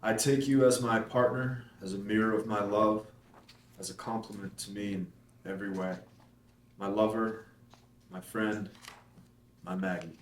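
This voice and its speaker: An actor reading the part of Ethan, a playful, devoted young husband, in a screenplay table read. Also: male voice, on the low side, steady tone, a little slow, long pauses, roomy sound, slight background noise, close to an everyday voice. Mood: tender.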